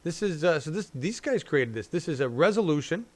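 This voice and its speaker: A man hosting a live-stream talk show, rambling casually and thinking out loud as he goes. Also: on the high side, expressive, brisk. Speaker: show host.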